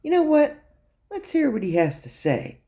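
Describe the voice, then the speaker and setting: A man's voice: extremely high pitch, huge pitch swings, normal speed, warm tone, voice pushed up, exaggerated voice. A man voicing a stern female military major in a story, scolding, commanding and smug. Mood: smug, assured.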